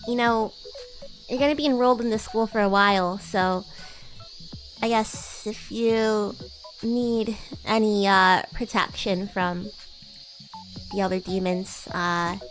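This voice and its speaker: A woman's voice: mid-range voice, fairly even intonation, slow, pauses often, fairly bright tone, soft background music, pitched below the performer's own voice, poor audio. A woman voicing a bratty, haughty young demon schoolgirl in an improvised livestream roleplay, teasing and condescending. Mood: teasing, tsundere. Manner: teasing, condescending, flustered tsundere denials.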